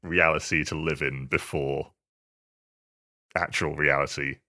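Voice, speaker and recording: Very deep-voiced, bright, halting man. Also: fairly even intonation. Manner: hesitant, halting delivery with repeated words. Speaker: podcast co-host. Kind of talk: casual video game podcast chat.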